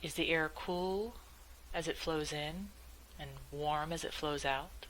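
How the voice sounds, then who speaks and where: Woman doing a guided meditation, low pitched but bright crisp-edged voice, gentle step by step, some noise in the background. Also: slow, poor audio. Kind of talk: guided meditation, calm scripted instruction.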